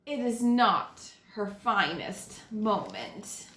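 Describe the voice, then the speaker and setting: A woman teacher reading a children's picture book aloud, with dramatic, deliberate phrasing and stressed key words. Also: mid-range voice, expressive, echoey room, background noise. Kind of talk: expressive children's read-aloud narration.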